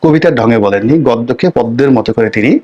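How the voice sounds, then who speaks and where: A middle-aged man with a Bangladeshi accent gives unscripted political commentary and personal anecdotes, phrasing things deliberately with drawn-out pauses. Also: mid-range voice, lively intonation, a little mellow, dull audio. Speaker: political commentator, former parliamentarian.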